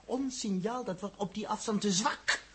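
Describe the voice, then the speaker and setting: An actor with a Netherlands Dutch accent playing an excitable, fretful spaceship engineer in a 1960s radio drama, with a husky voice. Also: male voice, high-pitched, normal speed, noisy background, natural voice. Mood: dejected, insistent. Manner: swings from muttering to agitated outbursts.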